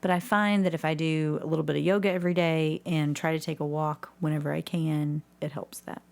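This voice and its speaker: Woman podcast guest, kinda low gravelly voice, chatting candidly, pokes fun at herself. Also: little pitch movement, brisk, a little mellow. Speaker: podcast guest, community theatre actress. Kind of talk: casual interview chat.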